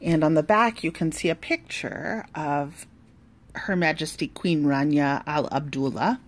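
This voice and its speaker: Slow-reading woman with a lowish voice. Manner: expressive, deliberate, pausing between phrases. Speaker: pastor reading a children's storybook. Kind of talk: storybook read-aloud with asides.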